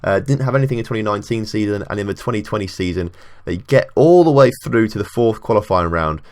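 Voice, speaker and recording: A man's voice: lowish voice, animated, quick pace, a little mellow. A man hosting a gaming channel, talking casually through a football management game and thinking aloud with lots of fillers. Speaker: video game channel host. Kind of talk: casual gameplay commentary.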